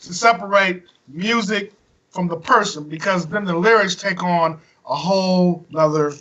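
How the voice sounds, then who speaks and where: A hoarse man co-hosts a casual chat, cracking jokes and cutting in often. His voice sits high and bright, and it moves around a lot. The recording sounds dull and lo-fi. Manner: joking, animated, quick to interject. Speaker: co-host.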